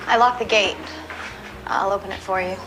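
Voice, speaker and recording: A woman's voice: medium pitch, moderate pace, a bit bright, a little hiss or hum, natural voice, poor audio. An actress playing a defensive, earnest young woman grieving her sister in an audio drama, speaking hesitantly. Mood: guarded.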